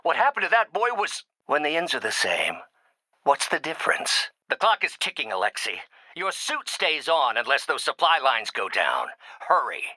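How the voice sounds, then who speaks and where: A man's voice: a little high, huge pitch swings, moderate pace, sharp tone, no echo, exaggerated voice. A male actor playing a brilliant, proud and embittered middle-aged scientist and mentor turning villain in a video game, with articulate, theatrical delivery. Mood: cold, menacing. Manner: articulate, theatrical, erudite phrasing. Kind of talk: dramatic scripted game dialogue.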